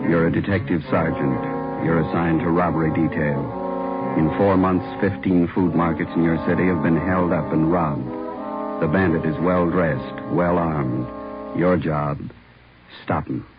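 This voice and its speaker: A man's voice: sits low, fairly even intonation, measured pace. A man giving terse, clipped scripted narration in the style of a 1950s radio police drama. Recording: muffled old radio, loud brass music.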